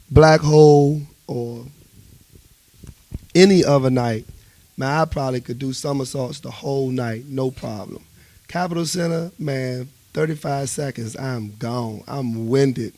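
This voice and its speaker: A man's voice: medium pitch, darkish tone, no echo. A man with a Washington, D.C. accent talking casually as an interview guest, telling things in colorful slang and everyday phrasing. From United States.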